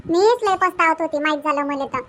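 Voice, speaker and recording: A woman's voice: very high-pitched, brisk, a bit bright, slight background noise. A woman voicing a chatty, hospitable and gossipy village neighbour in a cartoon comedy, with a Varhadi Marathi accent. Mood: welcoming, curious.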